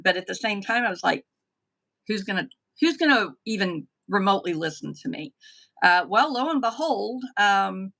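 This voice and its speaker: A middle-aged woman from the southern United States with a softened Tennessee accent, chatting easily as a guest on a live stream interview. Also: medium pitch, expressive, moderate pace, creaky. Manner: chatty, with frequent ums and restarts. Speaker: political candidate, guest. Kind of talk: casual interview answers.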